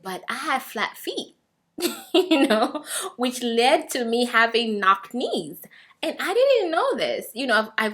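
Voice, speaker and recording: Earnest young woman, somewhat high voice. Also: a bit bright. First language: Swahili. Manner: earnest, encouraging, many fillers and restarts. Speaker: fitness vlogger. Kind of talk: casual talk to camera.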